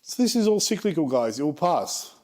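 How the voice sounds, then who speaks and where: A man's voice: on the high side, big pitch swings, a little fast, darkish tone. A man in his forties with a frank manner and joking asides, casually presenting charts as a property investment presenter. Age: 40s.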